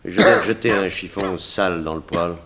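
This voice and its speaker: A grumpy secretive sculptor man, low clipped quick talk, hostile, old noisy radio drama. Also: a bit bright, echoey room. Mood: guarded, curt. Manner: curt, hostile. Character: surly, secretive sculptor rebuffing an unwanted visitor. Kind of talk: 1960s French radio serial acting. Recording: noisy vintage 1960s radio recording.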